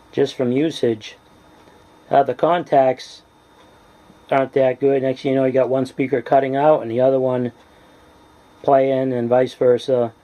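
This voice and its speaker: A man presenting a casual hands-on tutorial as a hobbyist, speaking in a halting, deliberate, plain-spoken way. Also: mid-range voice, warm tone, dull audio. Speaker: hobbyist presenter demonstrating radio gear.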